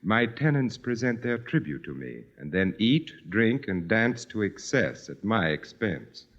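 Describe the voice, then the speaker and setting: Imperious, cultivated aristocrat, muffled old radio. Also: male voice, fairly low pitch, sing-song. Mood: courtly. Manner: formal, haughty, measured. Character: imperious, cultivated aristocratic landowner, courtly and cold. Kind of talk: old-time radio drama acting. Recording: muffled vintage radio recording with music.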